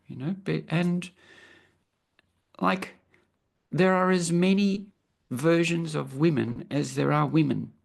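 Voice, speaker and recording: Woman, 60s, croaky and gravelly, a thoughtful, earnest guest on a video call. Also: low-pitched. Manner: earnest, thinking aloud with many restarts. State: croaky from travel. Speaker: interview guest. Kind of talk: reflective personal conversation.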